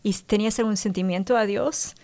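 A woman's voice: medium pitch, fairly even intonation, a little fast, a little mellow. Female radio host, faith testimony interview, encouraging, prompts guest with short affirmations.

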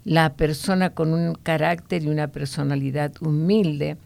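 Low, gravelly woman teaching the Bible. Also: steady tone, darkish tone. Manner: explanatory, often ending points with a questioning "no?"; unhurried, with frequent hesitation fillers.

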